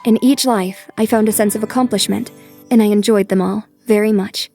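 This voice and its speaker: A woman's voice: medium pitch, steady tone, normal speed, dark tone, soft background music, lightly acted. A woman playing a composed, determined young noblewoman in an anime dub, speaking politely and reflectively. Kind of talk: anime dub acting with inner narration.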